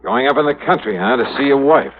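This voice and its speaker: An actor playing a dogged, sceptical private investigator in a 1950s radio drama, with a clipped, wry manner. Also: male voice, medium pitch, quick pace, noisy background, natural voice. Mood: suspicious, needling. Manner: clipped, wry, hard-boiled questioning and narration. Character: dogged, sceptical male private investigator narrating his case. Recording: tinny vintage radio recording with music.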